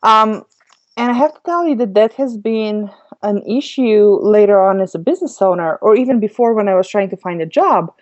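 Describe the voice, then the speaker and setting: A woman's voice: medium pitch, normal speed. A woman from Slovenia, chatting as a guest on an interview podcast, laughing easily with many ums. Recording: dull lo-fi audio.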